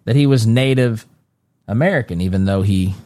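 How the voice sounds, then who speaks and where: Guy doing a solo commentary show, lowish voice, reads an article then cuts in with his own take, lots of ums, dry close mic. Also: mellow, no echo. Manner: measured, with fillers and restarts.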